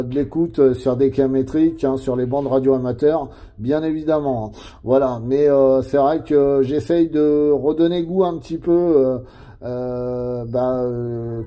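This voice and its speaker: A man's voice: mid-range voice, mellow. A middle-aged man chatting casually as a CB radio operator, adding tag words at the ends of phrases.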